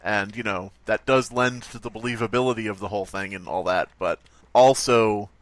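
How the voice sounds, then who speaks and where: A man's voice: on the low side, moderate pace, no echo. A man co-hosting a podcast in casual, joking conversation. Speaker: podcast co-host. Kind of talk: casual comedic chat.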